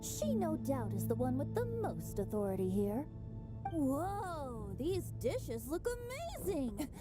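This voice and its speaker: A woman's voice: high-pitched, sing-song, takes it slowly, full character voice. A woman voicing a whimsical, cheerful young male bard in a video game, theatrical and airy, over music. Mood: flattering, delighted. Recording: loud music behind the voice.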